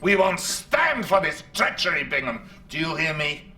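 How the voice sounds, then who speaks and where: An actor playing an imperious, pompous Soviet premier in a video game. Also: male voice, a little high, sing-song, moderate pace, sharp tone, a little hiss or hum. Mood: indignant. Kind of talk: acted video game cutscene dialogue. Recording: roomy, distant, music behind.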